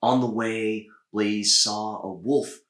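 Male storybook narrator, thirties, lowish voice, deliberate phrasing, recorded in an echoey room. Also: fairly even intonation, fairly bright tone. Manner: measured, deliberate phrasing with pauses. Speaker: storybook reader. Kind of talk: read-aloud children's story narration. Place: small indoor room with bookshelves.

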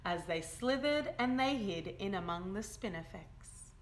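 A young woman reads a picture book aloud to children in an expressive, emphatic storytelling style. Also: mid-range voice, a little slow. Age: young adult. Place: small indoor room by a window.

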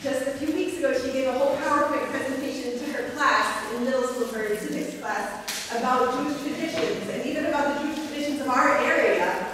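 A woman in her forties speaks with heartfelt, halting warmth, restarting her sentences as she addresses a congregation. Her fairly high voice sounds as if it comes from across a long, echoing hall. Room noise from the gathering is clear behind her. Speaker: mother of the bat mitzvah girl. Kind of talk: speech at a religious ceremony. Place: small synagogue hall with a seated congregation. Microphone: far room camera. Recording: echoey hall from far off.

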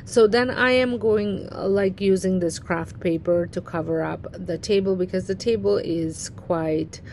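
Chatty woman with a low, dark voice. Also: measured pace, slight background noise. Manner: chatty, rambling, with frequent fillers and restarts. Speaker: home organizing vlogger. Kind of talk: casual walkthrough vlog. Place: cluttered basement craft room. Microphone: phone camera, handheld and on a stand.

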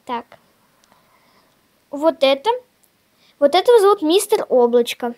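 A female host chatting casually in a toy unboxing video, showing things step by step in a simple, halting way. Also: high voice, animated, darkish tone. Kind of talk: casual unboxing vlog chat.

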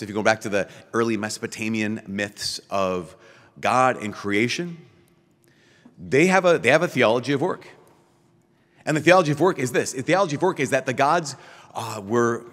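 A middle-aged man, a priest, giving an animated keynote at a podium, full of rhetorical questions and quick restarts. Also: medium pitch, big-room sound. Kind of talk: lively conference keynote. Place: large conference hall, at a podium. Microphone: podium microphone.

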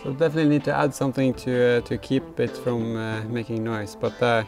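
A man in his thirties with a creaky, fry-laden voice at a middling pitch talks through a hands-on job. His delivery is casual and halting, as if thinking aloud. There is clear music behind him. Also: level tone. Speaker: host of a car workshop vlog. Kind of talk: casual hands-on commentary while working. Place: inside a car in a workshop.

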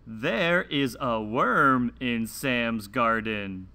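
A man hosting a children's read-along lesson, reading a script slowly and deliberately with careful enunciation and sing-song emphasis. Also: medium pitch, bright, forceful tone.

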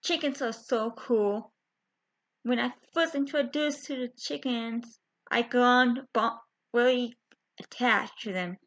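A young woman chatting to her webcam from a small bedroom, halting, with many fillers and restarts. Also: fairly high pitch, fairly bright tone. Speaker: vlogger. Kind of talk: casual chat to camera. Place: small bedroom with window blinds. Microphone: webcam at close range. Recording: dull lo-fi webcam audio.

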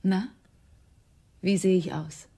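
A soft, obedient female accomplice in a radio thriller. Her dark, mellow voice is extremely breathy and sits at middle pitch. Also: steady tone, takes it slowly. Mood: expectant, compliant. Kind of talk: German radio crime drama acting.

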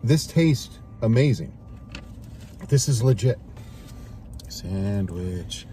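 A man in his forties hosting a casual food review from a parked car, loud, excitable and jokey. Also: on the low side, very slow, a little hiss or hum. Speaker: radio host.

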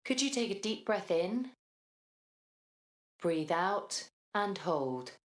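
A young woman speaking as a clinician, giving polite, gently phrased instructions to a patient in a small room. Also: mid-range voice, big pitch swings, slow. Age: young adult. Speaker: clinician demonstrating an examination. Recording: roomy, echoey clinic room sound.

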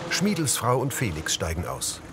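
A man's voice: mid-range voice, huge pitch swings, moderate pace, noisy background. A man reading scripted audio description narration for a television series.

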